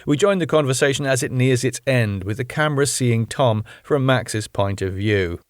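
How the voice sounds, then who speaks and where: A man reading scripted stage directions as narrator, in measured, deliberate and dramatic phrases. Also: medium pitch, no echo, studio quality. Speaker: narrator reading his own sitcom script.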